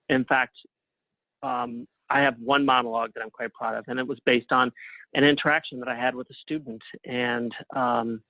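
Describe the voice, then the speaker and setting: A man speaking as a guest in a casual interview over a thin, phone-like line, halting and trailing off at times. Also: medium pitch, vocal fry, no echo.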